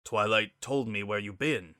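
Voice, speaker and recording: A man's voice: on the low side, normal speed, bright, forceful tone, dry recording, clean studio sound. Man playing a mild, caring pony dad. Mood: gentle. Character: mild, caring father pony, gently inquiring.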